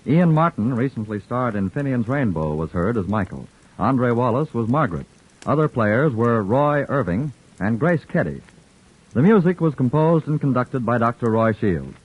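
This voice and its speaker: A man making formal, measured announcements as a vintage radio announcer. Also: mid-range voice, sing-song. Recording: tinny old radio broadcast with music.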